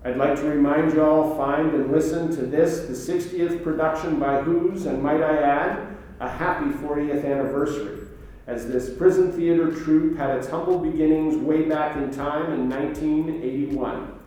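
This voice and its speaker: A man's voice: on the high side, steady tone, normal speed, warm tone, lightly acted. A man playing a mysterious late-night radio show host, speaking in a grandiose, formal way straight to the listeners. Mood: showmanlike. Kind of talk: theatrical radio show sign-off. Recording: echoey, distant, faint music behind.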